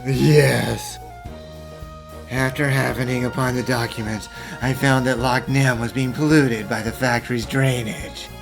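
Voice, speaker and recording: A man playing a principled, apologetic engineer in an animated fan dub, speaking quietly and contritely. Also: mid-range voice, measured pace. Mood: subdued, confessing. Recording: clear music behind the voice.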